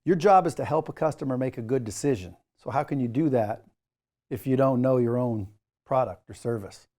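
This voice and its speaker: A man with a mellow, darker-toned voice at a medium pitch teaches as a course instructor. He addresses the learner directly in a coaching style, stressing his points while keeping the wording informal. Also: brisk. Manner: emphatic, jokey asides, coaching tone. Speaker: sales trainer, course instructor.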